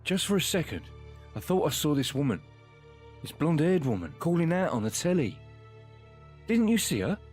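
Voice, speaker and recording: A man's voice: a little high, big pitch swings, normal speed, long pauses, darkish tone, husky, soft background music. An older man playing a worried, insistent grandfather in an audio drama. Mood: anxious.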